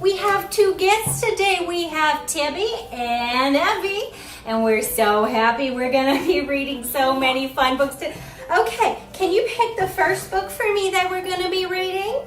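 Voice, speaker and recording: A woman playing a bubbly, excitable presenter welcoming children and guests. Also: very high voice, measured pace, reverberant, recorded from a distance, voice pushed up. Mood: bubbly, excited.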